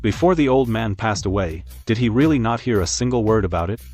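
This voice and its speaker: A man's voice: lowish voice, lively intonation, fairly quick, a little mellow, soft background music. A man playing an assured, sardonic head of a family company in an audio drama. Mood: serious, sardonic. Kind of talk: dubbed audio drama acting.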